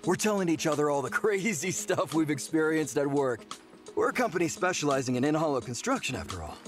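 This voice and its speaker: A lively young construction worker. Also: male voice, fairly high pitch, fairly quick, over music. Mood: chummy. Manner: chummy, casual. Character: friendly, easygoing young male construction worker. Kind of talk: anime game cutscene acting.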